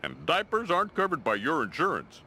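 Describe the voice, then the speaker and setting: An actor playing a jovial, glib, money-minded doctor in a cartoon video game. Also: male voice, a little high, very animated, brisk, sharp tone, a little hiss or hum, exaggerated voice. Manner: jokey, glib.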